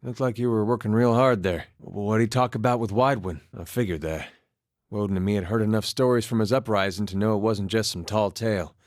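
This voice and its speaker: Male actor as wry farmer-soldier, fairly deep voice, understated folksy drawl, quietly wounded, video game character, very dry recording. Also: no echo, natural voice. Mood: urgent, questioning. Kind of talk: performed game dialogue.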